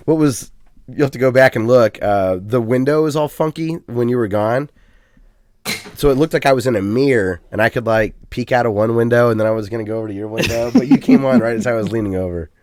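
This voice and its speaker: A man's voice: medium pitch, quick pace, a little mellow. A man co-hosting a casual gaming podcast, rambling and chatty with plenty of ums and uhs. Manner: self-deprecating, chatty. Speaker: podcast co-host.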